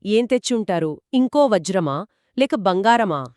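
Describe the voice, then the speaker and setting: Female story co-host, curious and reactive, lively conversational delivery, dry studio-like sound. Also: medium pitch, measured pace, no room sound. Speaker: co-host listening and asking questions.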